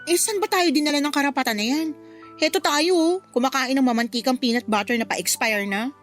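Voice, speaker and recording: Radio drama, female: actress as sassy, complaining Filipina mistress, high-pitched, light music underneath. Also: fairly quick, natural voice. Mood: rueful. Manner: sassy, exclamatory. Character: feisty, sassy young kept woman, quick to complain. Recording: music behind the voice.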